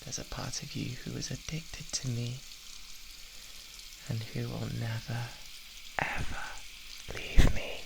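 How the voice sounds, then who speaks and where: Man acting a slow, creaky British yandere boyfriend. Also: medium pitch, little pitch movement, very noisy. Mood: possessive, menacing. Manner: cooing, slow, possessive, drawn-out words. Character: obsessive, doting yandere boyfriend, soft-spoken and menacing. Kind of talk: intimate ASMR roleplay, improvised.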